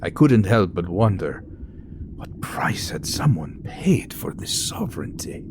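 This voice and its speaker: An actor playing an awed, haunted professor, narrating an audio drama in a hushed, dramatic way. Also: male voice, sits low, very animated, a little slow, background noise. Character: awed, haunted male professor narrating his undersea captivity.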